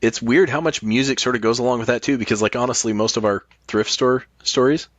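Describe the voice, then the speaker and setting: A man's voice: mid-range voice, fairly quick, no room sound, highs cut off. Middle-aged man, candid and close-miked dry sound. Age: late 40s. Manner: candid, casually profane. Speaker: podcast guest. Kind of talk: casual chat.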